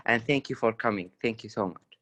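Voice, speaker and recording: A man's voice: mid-range voice, a bit bright, dry recording. A nineteen-year-old man with a Saudi Arabic accent, talking casually on a video call and mixing Arabic and English with many fillers. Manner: fast code-switching between Arabic and English, many fillers. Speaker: student guest asking a question.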